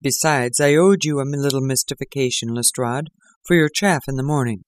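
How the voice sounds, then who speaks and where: A man voicing a calm, assured gentleman detective with a dryly amused manner. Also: medium pitch, moderate pace, lightly acted.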